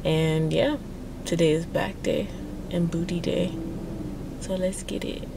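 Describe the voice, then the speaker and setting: A woman's voice: fairly low pitch, fairly even intonation, takes it slowly, gravelly, heavy background noise. A young woman with a congested voice and a sore throat, chatting casually about her day in a fitness vlog from the gym, rambling with lots of fillers. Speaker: fitness vlogger.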